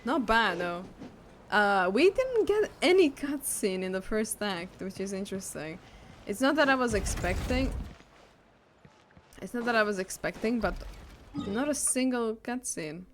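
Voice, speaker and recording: A woman's voice: mid-range voice, expressive, unhurried, a little hiss or hum. A woman game streamer giving casual, off-the-cuff livestream commentary.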